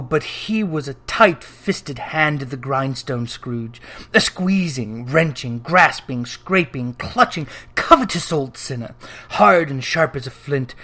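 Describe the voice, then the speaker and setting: A narrator reading a classic literary story aloud in a dramatic, emphatic way, with deliberate phrasing. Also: mid-range voice, fairly bright tone. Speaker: audiobook reader.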